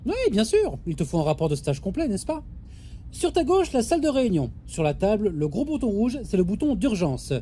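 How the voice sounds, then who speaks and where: Female space captain played by a woman, soft-toned yet authoritative, no time for nonsense, audio drama. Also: mid-range voice, very animated, fairly quick, slight background noise, close to an everyday voice. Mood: instructive, patient. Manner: authoritative, matter-of-fact, dismissive of nonsense. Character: brisk, busy female space station captain. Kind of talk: French audio drama acting.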